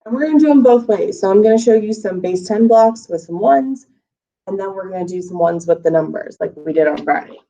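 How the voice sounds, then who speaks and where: A woman's voice: mid-range voice, expressive, brisk, dark tone. A woman teaching a recorded math lesson to first graders, talking patiently and counting aloud, her voice slightly scratchy from allergies. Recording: lo-fi, voice a bit far from the mic.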